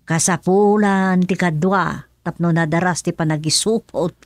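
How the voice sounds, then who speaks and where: A woman's voice: fairly low pitch, unhurried, no echo. A woman playing a good-natured, hardworking country mother in a radio drama, chatty and explanatory. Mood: cheerful, explaining.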